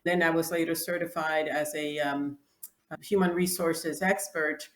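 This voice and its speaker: Woman, 60s, from the Dominican Republic, native Spanish speaker; slightly low voice, reflective interview guest in a roomy space. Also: fairly even intonation, a little slow. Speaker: guest, clothing designer. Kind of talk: interview answers. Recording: echoey room.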